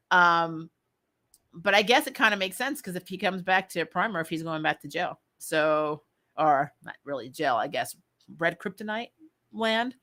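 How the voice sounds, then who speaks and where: Lady chatting away giving her takes, blunt n slangy, real bright forward voice, kinda animated. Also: mid-range voice. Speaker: host of a TV recap show. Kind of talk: casual, opinionated commentary.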